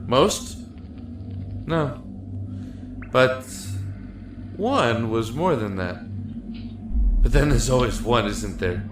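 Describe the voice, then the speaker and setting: Man acting as a slow, despairing prisoner in echo. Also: mid-range voice, expressive, background noise. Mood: reflective regret. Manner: halting, reflective, emotive delivery. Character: despairing, regretful man imprisoned in a dark cell. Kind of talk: dramatic audio monologue acting. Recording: echoey, with music underneath.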